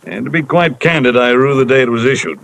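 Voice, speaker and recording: A fretful, formal insurance executive in a vintage radio mystery. He hems and haws before every point, his pitch swooping widely, and his tone comes across bright and pointed. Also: lively intonation, fast, gravelly, slight background noise, low-quality recording. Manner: hesitant, fussy, with frequent "uh". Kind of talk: 1950s radio drama acting.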